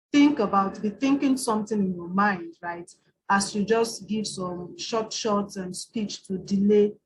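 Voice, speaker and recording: A woman's voice: medium pitch, a little hiss or hum, rough recording. Nigerian woman teaching in a webinar. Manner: conversational, frequent tag question "right?". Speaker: IELTS trainer. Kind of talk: webinar lecture.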